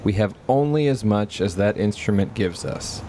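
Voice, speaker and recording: A man's voice: on the low side, expressive, normal speed, dark tone, a little hiss or hum. A man hosting a casual quiz chat with friends, reading quotes aloud and laughing often. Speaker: quiz host.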